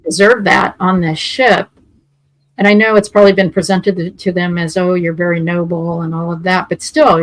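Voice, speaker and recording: Female podcast panelist, lowish voice, earnest and reflective, dry mic. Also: no room sound, rough recording. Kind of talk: casual review chat.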